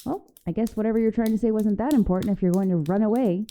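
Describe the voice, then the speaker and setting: A woman's voice: medium pitch, fast, warm tone, lightly acted. A woman voicing a vengeful, teasing young giantess in an ASMR roleplay monologue, mocking and menacing in a playful, theatrical way. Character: gloating, teasing vengeful young giantess taunting shrunken captive.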